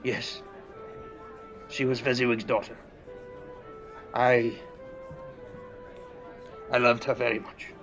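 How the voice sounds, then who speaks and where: A man acts out a bitter man haunted by regret in an audio play. His voice is tense and forceful, with slow, halting, clipped answers. The sound is slightly dull. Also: mid-range voice, flat delivery, soft background music. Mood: regretful.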